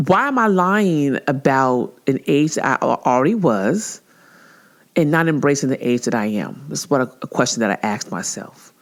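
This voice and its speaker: A woman in her forties hosting her own podcast in a casual monologue, chatty and animated, and often jumping much higher in pitch when she acts out something someone said. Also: moderate pace. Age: 40s. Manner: laughs easily, with frequent filler words and restarts. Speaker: podcast host.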